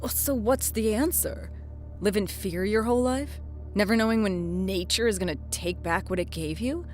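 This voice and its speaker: A woman's voice: mid-range voice, moderate pace, faint music behind, close to an everyday voice. An actress playing a troubled, self-doubting middle-aged former policewoman, earnest and hesitant, in naturalistic audio drama dialogue. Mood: frustrated, searching. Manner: hesitant, stammering, apologetic.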